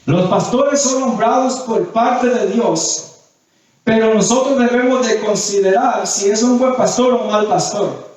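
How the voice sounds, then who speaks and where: Male preacher, high-pitched voice, didactic sermon delivery with repeated phrases; very reverberant, distant-miked sound. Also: measured pace, darkish tone. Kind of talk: sermon with scripture readings and questions to the congregation. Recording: very echoey hall, distant mic.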